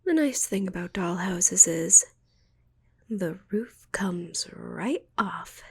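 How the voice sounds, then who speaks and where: A woman's voice: fairly low pitch, expressive, slow, darkish tone, dry recording, exaggerated voice. A woman voicing a possessive, sweetly menacing witch in a cooing, condescending ASMR roleplay whisper. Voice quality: breathy, creaky whisper.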